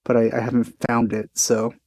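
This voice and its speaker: A man giving casual, low-key and wry commentary while playing a video game. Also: completely flat delivery, normal speed, a little mellow, no room sound. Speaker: let's play commentator.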